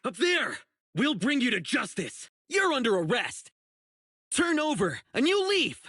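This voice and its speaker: A man's voice: very high voice, huge pitch swings, measured pace, pushed and bright, no room sound, studio quality, exaggerated voice. An actor playing an earnest, righteous young male public security officer in a video game, speaking emphatically. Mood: eager, commanding. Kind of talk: video game character voice acting.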